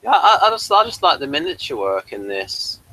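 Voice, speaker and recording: A man's voice: on the high side, very animated, moderate pace, pushed and bright, poor audio. A British man co-hosting a casual review chat, speaking in a hesitant, inquisitive way. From United Kingdom. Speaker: podcast co-host reviewing a TV episode.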